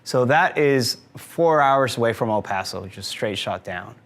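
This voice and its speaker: A man's voice: mid-range voice, lively intonation, measured pace, fairly bright tone. A man in his thirties from Mexico talks to a room full of listeners. His voice sits in a medium range. He explains things in a friendly way, with plenty of "um"s along the way. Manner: friendly, explanatory, frequent fillers. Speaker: guest speaker, tour company owner. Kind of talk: conversational talk to a live audience. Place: event room with an audience.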